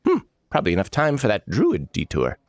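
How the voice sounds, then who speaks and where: Man putting on a high-pitched, theatrical eccentric adventurer. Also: wildly swooping pitch, a little fast, no echo, clean studio sound. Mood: amused, flippant. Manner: flippant, theatrical, lilting. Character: theatrical, breezy, eccentric male adventurer with pocket watch.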